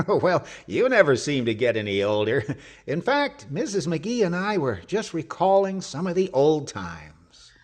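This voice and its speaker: Old radio-comedy husband, male actor: folksy grumbler and joker, raised pitch, swooping delivery. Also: huge pitch swings, a little slow, full character voice. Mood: amused. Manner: folksy, chuckling, exclamatory. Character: jovial, wisecracking, grumbling old retired husband.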